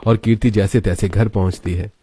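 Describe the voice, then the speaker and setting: A man's voice: on the low side, fairly even intonation, brisk, mellow, slightly rough, dry recording. A man reading scripted narration for a cartoon story.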